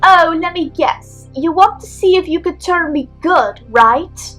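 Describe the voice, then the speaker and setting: A woman's voice: very high-pitched, animated, measured pace, fairly bright tone, faint music behind, pitched above the performer's own voice, full character voice. A woman voicing a mocking, angry young villainous mare in an amateur cartoon pony story reading.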